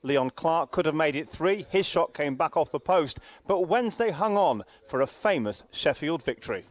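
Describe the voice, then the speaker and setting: A man with a fairly high voice delivers a scripted football report in full, flowing sentences. The sound is a little dull and lo-fi. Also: lively intonation, normal speed.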